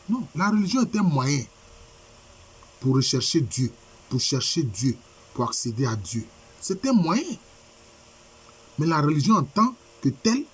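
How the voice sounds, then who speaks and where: A man's voice: a little high, husky. A man preaching a sermon by video conference while suffering from a head cold, repeating points and asking rhetorical questions. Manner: repetitive, emphatic, rhetorical questions.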